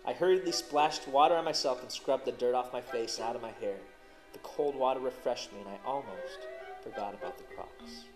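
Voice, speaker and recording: A man narrating a first-person story in an expressive, dramatic way as host of a story show. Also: mid-range voice, fairly quick, over music, rough recording. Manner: dramatic, animated on exciting parts.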